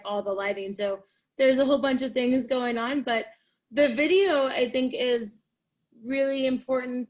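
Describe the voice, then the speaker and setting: A woman with a loud voice explaining her setup on a webinar video call from a room at home. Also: on the high side. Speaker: panel guest. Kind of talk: casual webinar talk.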